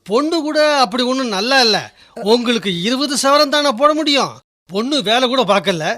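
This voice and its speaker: High-pitched indignant young son. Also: male voice, expressive, normal speed, bright, forceful tone, no echo, full character voice. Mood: aggrieved, insistent. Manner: indignant, insistent. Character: agitated, proud son objecting to his sister's wedding. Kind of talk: Tamil radio drama acting.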